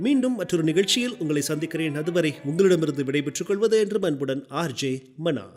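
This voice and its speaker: High-pitched man hosting a radio show. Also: expressive, fast talker, darkish tone, soft background music. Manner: conversational, mixes English words into speech. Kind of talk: upbeat radio presenting of film songs.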